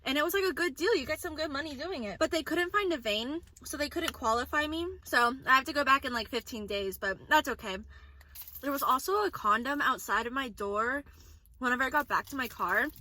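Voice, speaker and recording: A woman's voice: high-pitched, sharp tone, low-quality recording. A woman in her twenties chatting casually to a phone camera in a vlog, rambling with lots of "like" fillers. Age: 20s. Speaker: vlogger. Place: indoors and in a car.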